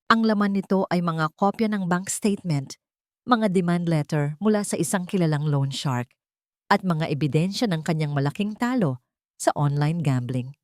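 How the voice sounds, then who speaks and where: A woman's voice: fairly low pitch, moderate pace, darkish tone, no echo, studio quality. A woman reading scripted, dramatic story narration.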